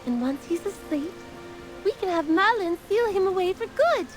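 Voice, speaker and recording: A woman's voice: extremely high pitch, expressive, moderate pace, faint music behind, rough recording. A woman voicing a playful, mischievous fairy in a video game, with a theatrical, teasing delivery. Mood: excited, scheming. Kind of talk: scripted game voice acting.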